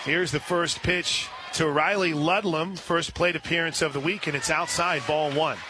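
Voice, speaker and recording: A man calling live softball play-by-play on the radio as the announcer, with an excitable delivery. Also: a little high, normal speed. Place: at a softball stadium. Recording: noisy live radio broadcast with stadium ambience. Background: clear background noise, ballpark ambience.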